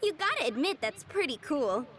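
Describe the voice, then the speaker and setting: A woman's voice: high voice, very animated, normal speed, background noise. A woman voicing a dreamy, giggly young girl from a flower shop in a video game, with a sweet tone. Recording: game audio with clear music behind.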